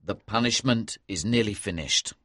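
A woman voicing an earnest, dutiful young male ship's officer in an audiobook, with a measured way of talking. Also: very deep voice, no echo, studio quality, voice pushed down. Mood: reassuring. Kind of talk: audiobook character dialogue.

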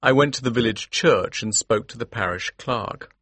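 An actor playing an earnest, determined gentleman who tells a Victorian mystery in the first person, with a husky voice. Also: medium pitch, moderate pace, no room sound, close to an everyday voice. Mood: measured narration. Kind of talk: scripted first-person audiobook narration.

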